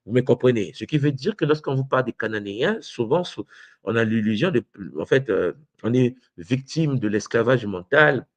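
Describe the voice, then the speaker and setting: A man's voice: mid-range voice, animated, moderate pace, dry recording. Emphatic man lecturing, dry sound. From Congo. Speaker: teacher of traditional spirituality. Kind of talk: spoken lecture to an online audience.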